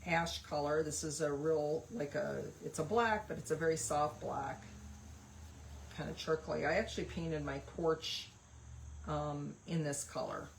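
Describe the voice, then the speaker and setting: A woman's voice: low voice, steady tone, a little slow, vocal fry, slight background noise, rough recording. A woman hosting a craft livestream, chatting casually and rambling with lots of fillers while she paints. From West Virginia, USA.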